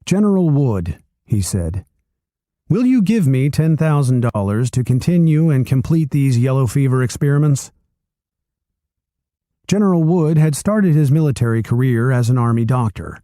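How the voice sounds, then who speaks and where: A man reading a nonfiction book aloud chapter by chapter, with expressive storytelling delivery. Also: medium pitch, moderate pace, dry recording, studio quality. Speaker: reader.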